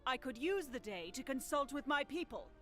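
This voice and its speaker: A careful female council member in a fantasy game. Her voice is high, set over quiet music. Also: sing-song, normal speed. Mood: concerned. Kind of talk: video game cutscene acting.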